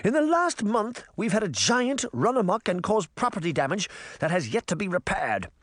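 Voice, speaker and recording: A furious, pompous fairy-tale queen rants in a high, piercing voice, voiced by a man reading an audiobook. Her pitch leaps wildly from line to line. The sound is dry and close, with no room around it. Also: very animated, moderate pace, no room sound. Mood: ranting. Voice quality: high, strident, raging. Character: shrill pompous fairy-tale queen, middle-aged, ranting. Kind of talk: audiobook character voice.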